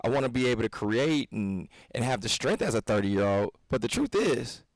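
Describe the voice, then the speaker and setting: A man's voice: medium pitch, animated, moderate pace, no echo, rough recording. A man in his thirties co-hosting a fitness podcast, talking in an earnest, preachy way that rambles and restarts. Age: 30s. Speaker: podcast co-host, fitness coach. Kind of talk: casual podcast discussion.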